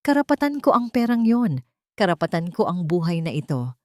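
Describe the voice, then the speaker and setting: A woman's voice: on the low side, sing-song, fairly quick, dry recording, studio quality. A woman voicing a spiteful, greedy, indignant older aunt in an audio drama. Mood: remorseless defiance. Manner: indignant, self-righteous.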